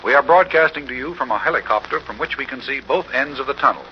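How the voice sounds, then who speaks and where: An American man playing an earnest, excitable on-the-scene reporter in a 1940s radio drama, announcing formally in a projected voice. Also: mid-range voice, quick pace, natural voice. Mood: upbeat, formal. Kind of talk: 1940s radio drama, live news commentary. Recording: muffled old radio, faint noise.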